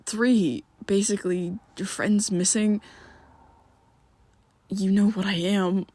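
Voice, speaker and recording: A man voicing a young vampire who is his listener's best friend, speaking in a soft, breathy half-whisper with hesitant restarts, in an ASMR roleplay. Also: high voice, fairly even intonation, takes it slowly, dry recording. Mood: pleading, defensive. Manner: hesitant, stumbling restarts, pleading.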